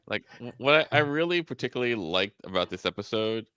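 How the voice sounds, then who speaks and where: Crisp, bright, low-voiced man. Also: expressive, brisk, no room sound. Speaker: podcast co-host. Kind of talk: casual pop-culture podcast banter.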